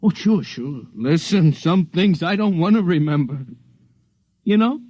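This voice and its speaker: A jumpy, high-pitched barroom regular stammers and swings his voice up and down as he insists he wants no trouble. The sound is the dull, lo-fi tone of a 1950s radio play. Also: animated, unhurried, darkish tone, dry recording. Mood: nervous. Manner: jittery, repeats himself, stammers. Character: nervous working-class male bar patron, anxious to avoid trouble. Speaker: witness questioned by FBI. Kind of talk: 1950s radio drama acting.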